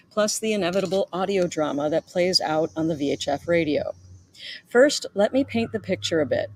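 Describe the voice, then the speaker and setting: A woman's voice: fairly low pitch, a little hiss or hum. A middle-aged woman reading her own memoir aloud as its author, in a storytelling manner with the occasional stumble.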